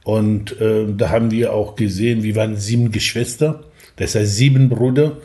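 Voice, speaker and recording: An older man from Kurdistan in Iran, telling his life story as a guest in a halting, plain-spoken way. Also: on the low side, fairly even intonation, dark tone. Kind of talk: casual conversation. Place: café terrace in Portugal.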